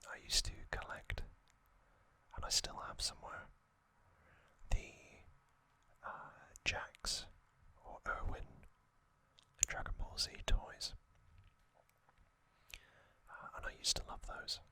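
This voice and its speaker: A man whispering as an ASMR host, recounting trivia in a soft, rambling way with frequent pauses and ums. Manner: rambling, personal recollections.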